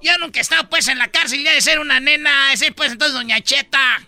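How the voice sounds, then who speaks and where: A man's voice: a little fast, pushed and bright, dry recording, heavily acted. An actor playing a nosy, fussy older man, chattering, teasing and singing comic verses in a radio sketch. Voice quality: high, squeaky put-on voice. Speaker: radio comedy character. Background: music.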